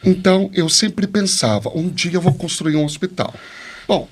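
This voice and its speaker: Higher-voiced Brazilian man telling his story. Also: animated, normal speed. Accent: Brazilian Portuguese. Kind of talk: personal storytelling in a podcast interview.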